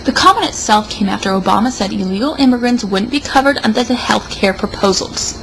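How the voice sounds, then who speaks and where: Tipsy woman with a creaky voice. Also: fairly high pitch, expressive, brisk, a little hiss or hum, low-quality recording. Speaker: show host reading an opinion article aloud. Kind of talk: theatrical read-aloud with mocking asides.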